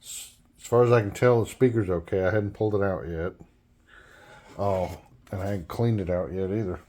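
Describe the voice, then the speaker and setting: A man giving an unscripted workbench walkthrough as a hobbyist restorer, halting and thinking aloud as he goes. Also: low voice, warm tone, a little hiss or hum. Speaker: hobbyist restorer presenting a repair.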